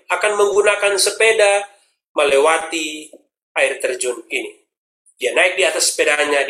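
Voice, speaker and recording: Preacher, man; high, bright voice; emphatic storyteller in an echoey church. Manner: storytelling, emphatic, rhetorical questions. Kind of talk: sermon. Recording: roomy, echoey hall.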